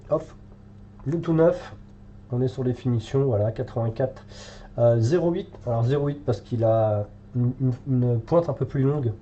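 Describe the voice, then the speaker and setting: A man's voice: mid-range voice, warm tone, dull audio, slight background noise. A French man with a cold, thinking aloud with many fillers as he paints live in a hobby tutorial. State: has a cold, congested.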